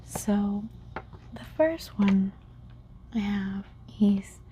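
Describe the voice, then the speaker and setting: Softly whispering woman teacher. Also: medium pitch, animated, slight background noise. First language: Spanish. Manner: soft whisper, repeats each word slowly. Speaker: language teacher. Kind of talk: whispered ASMR language lesson.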